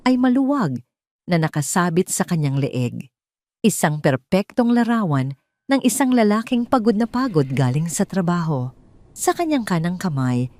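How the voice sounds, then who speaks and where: A woman's voice: deep voice, darkish tone. A woman with a Filipino accent narrating a scripted dramatic audio story as a storyteller.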